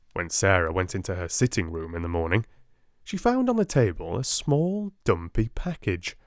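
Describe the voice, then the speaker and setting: A soft-spoken man with a British accent, gently and expressively reading a storybook as an audiobook narrator. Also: on the low side, dry recording, studio quality.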